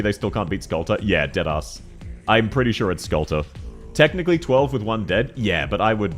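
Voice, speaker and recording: A young man with a deep voice streaming a game and chatting with viewers, excitable and slangy, laughing often and quick to correct himself. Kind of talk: casual live-stream chat, answering viewer questions.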